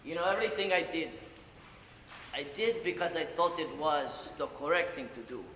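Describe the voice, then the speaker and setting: An old grandfather, acted by a man, weighed down by guilt and drink, speaks in a high, bright voice. His is halting and broken, broken up by sighs. It is a stage monologue in a reverberant hall with some noise. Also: lively intonation. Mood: wistful, tender. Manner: halting broken English with sighs and murmured asides. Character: old Ukrainian grandfather, boozy, guilt-ridden, confessing to grandson. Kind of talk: competitive dramatic monologue. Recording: echoey hall, distant, audible room noise.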